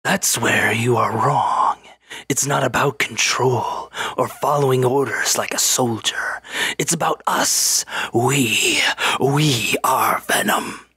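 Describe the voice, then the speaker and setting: A man performs a menacing alien creature who speaks with defiant command. The delivery is slow and emphatic, with a tense, forceful edge to every phrase. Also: mid-range voice, husky, no room sound, heavily acted.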